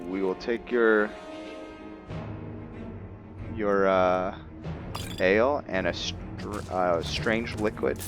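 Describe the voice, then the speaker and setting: A man giving casual commentary as he plays a roleplaying game, thinking aloud in a halting way. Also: on the low side, fairly even intonation, over music. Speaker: gameplay host.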